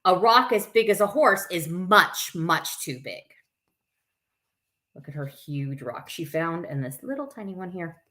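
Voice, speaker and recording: A woman's voice: fairly low pitch, animated, unhurried, sharp tone. A woman hosting a story time, reading a picture book aloud expressively with informal, chatty asides to her listeners. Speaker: camp staff story time host.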